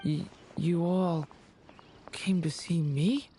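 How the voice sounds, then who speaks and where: An actor playing a gentle, earnest and hesitant young male character in a video game. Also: mid-range voice, steady tone, takes it slowly, slight background noise. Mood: apologetic, touched. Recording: music behind the voice.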